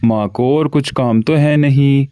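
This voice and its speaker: A man's voice: mid-range voice, steady tone, a little slow, mellow, clear, resonant tone, dry recording, clean studio sound, soft background music, close to an everyday voice. A man voicing a lazy, greedy young male crow in a cartoon, whiny and scheming. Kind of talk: cartoon voice acting.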